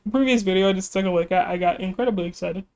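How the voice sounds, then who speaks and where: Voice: medium pitch, very fast, darkish tone. A music reaction YouTuber chatting casually and rambling, laughing often and apologizing, while slightly losing their voice. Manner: self-deprecating, apologetic. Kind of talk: casual reaction video chat.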